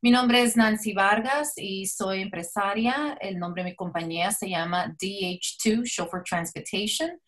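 Woman pitching her business on a call. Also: a little slow, hardly any pauses, a bit bright. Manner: polite, pitch-like. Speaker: business owner. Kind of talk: self-introduction pitch. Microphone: video call.

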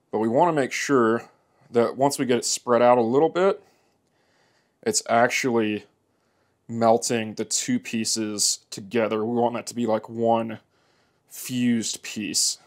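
A man in a workshop explaining a plastic welding repair in an easygoing, plainspoken way as he works. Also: medium pitch. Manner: easygoing, plainspoken, talks while working. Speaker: motorcycle repair demonstrator. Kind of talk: hands-on how-to narration. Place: indoor workshop.

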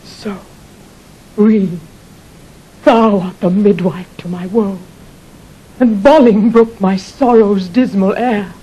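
A husky, sorrowful queen. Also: medium pitch, takes it slowly, long pauses, mellow, low-quality recording. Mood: despairing. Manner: solemn, declamatory verse speaking. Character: grieving, foreboding queen, regal and melancholy. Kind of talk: classical Shakespearean radio acting.

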